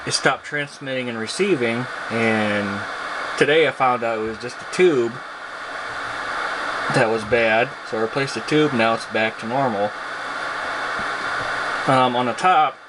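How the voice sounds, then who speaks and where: A man casually showing off a piece of his collection on camera, speaking in a halting, plainspoken way. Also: mid-range voice. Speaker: hobbyist video presenter, radio collector. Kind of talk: casual product show-and-tell, unscripted. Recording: loud steady hum and hiss.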